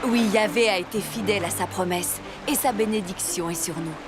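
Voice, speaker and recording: A woman playing a devout, gentle wife and mother of biblical times in a dubbed animated Bible story. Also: mid-range voice, fairly quick, over loud music, natural voice. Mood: joyful, hopeful.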